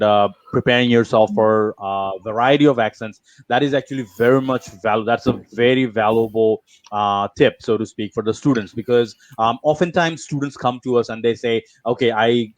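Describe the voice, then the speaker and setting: A man hosting an interview over a video call, relaxed and encouraging with slight hesitations. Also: medium pitch, no room sound. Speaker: podcast host. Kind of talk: conversational interview.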